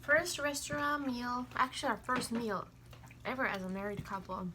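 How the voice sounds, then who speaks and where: Woman from Finland chatting over clear noise. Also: fairly high pitch, moderate pace. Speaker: mukbang vlogger. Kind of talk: casual chat while eating. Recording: noisy room sound behind the voice.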